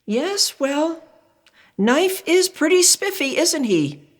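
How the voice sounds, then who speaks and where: A woman puts on a doting storybook spoon parent. The voice is pitched high and lilts widely, coaxing and reassuring. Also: big pitch swings, slow, exaggerated voice. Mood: sympathetic, coaxing.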